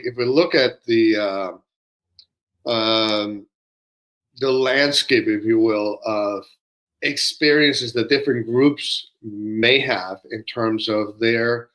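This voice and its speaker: A man in his sixties who grew up in Peru, co-hosting a conversation and talking in a measured, reflective way with frequent pauses and fillers. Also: mid-range voice, fairly bright tone, rough recording. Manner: measured, pausing often with fillers. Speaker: podcast co-host.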